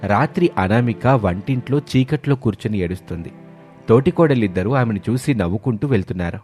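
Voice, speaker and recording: A man's voice: lowish voice, lively intonation, a little fast, a little mellow, soft background music. A man narrating a family moral story in a scripted, dramatic storytelling style.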